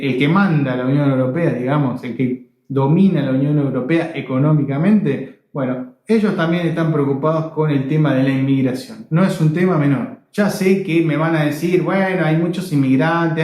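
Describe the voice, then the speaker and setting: Echoey, higher-pitched Argentine man giving opinions. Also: normal speed, darkish tone. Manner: emphatic, repeats phrases for stress. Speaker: video blogger. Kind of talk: opinionated direct-to-viewer commentary. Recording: echoey, reverberant room.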